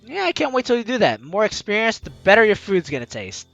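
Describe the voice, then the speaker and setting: A video game host with an animated, teasing, exclamatory way of talking, reading aloud and commenting playfully. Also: medium pitch, fast, vocal fry, no room sound, dull audio, faint music behind. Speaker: let's-play video host.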